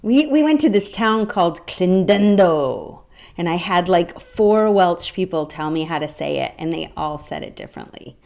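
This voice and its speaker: A lower-pitched American woman hosts a casual podcast chat. She is enthusiastic, laughs readily and chimes in with quick agreement. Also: expressive, gravelly, a little mellow. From United States. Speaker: podcast host.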